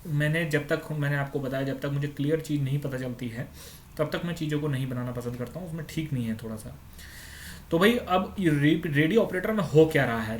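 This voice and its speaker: A man's voice: fairly high pitch, slight background noise. A man giving an informal explainer to students in a conversational way, checking that they follow. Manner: conversational, repeats tag words to check understanding. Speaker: YouTube exam-update presenter.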